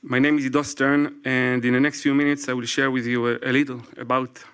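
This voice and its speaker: A man in his forties with an Israeli accent, a native Hebrew speaker, reading a prepared talk from his phone to a live audience. He speaks carefully, with pauses and apologies. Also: mid-range voice, little pitch movement, a bit bright, smooth. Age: 40s. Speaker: speaker at a public event. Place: event stage before a live audience.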